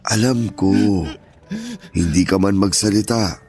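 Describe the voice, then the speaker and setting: A man playing an aging father in a radio drama, stern at first and then gentle and pleading with his grown son. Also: on the low side, measured pace, slightly rough, soft background music. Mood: tender. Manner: pleading, earnest, scolding turning gentle. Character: aging father, stern yet tender, grieving. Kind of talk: Tagalog radio drama acting.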